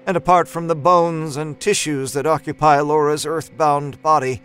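A man reading first-person horror fiction as dramatic scripted narration, with a deliberate, theatrical delivery. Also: fairly high pitch, steady tone, clean tone. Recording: narration over a music score. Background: soft musical score.

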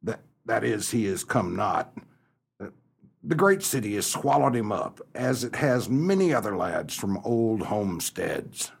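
An actor playing a gloomy, stern old farmer in a comic radio melodrama, husky and gravelly, with theatrical, florid delivery. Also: male voice, lowish voice, very animated, unhurried, heavily acted. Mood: bitter, gloomy.

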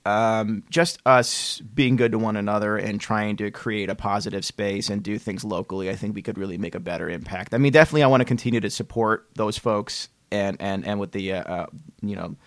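A man's voice: lowish voice, fairly even intonation, brisk, no echo. A man hosting a podcast in casual chat, hesitant and repeating words as he searches for phrasing. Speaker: podcast host.

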